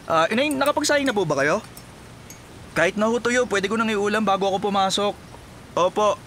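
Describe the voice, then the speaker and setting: A man's voice: high-pitched, a little fast, fairly bright tone, a little hiss or hum. A Filipino man playing a charming, easygoing married police captain in a drama, with a folksy, teasing manner. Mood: polite, relaxed. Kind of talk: Filipino radio-play style drama acting.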